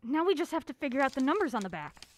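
Feisty young female sleuth played by an actress, high swooping voice, brisk and sardonic, impatient, audio drama. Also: very animated, a little hiss or hum. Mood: thoughtful. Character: feisty, quick-witted young woman, impatient amateur sleuth.

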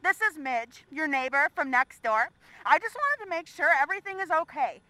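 A woman's voice: high-pitched, sing-song, normal speed, pushed and bright, dry recording, full character voice, low-quality recording. A woman playing a chatty, quirky next-door neighbor leaving a fussy, conspiratorial phone message in a comic audio drama. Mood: eager, concerned. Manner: fussy, conspiratorial, throat-clearing.

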